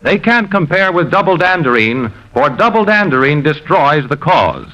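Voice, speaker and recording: A man's voice: fairly high pitch, big pitch swings, normal speed, bright, forceful tone, muffled recording. An American man reading a 1950s radio commercial as announcer, in an emphatic, persuasive and formal manner. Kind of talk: 1950s radio advertising pitch.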